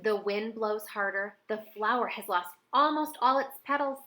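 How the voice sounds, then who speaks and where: Female storybook reader, middle-aged, slightly high pitch, expressive delivery for young listeners. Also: normal speed. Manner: expressive, with dramatic emphasis. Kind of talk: children's picture book read-aloud.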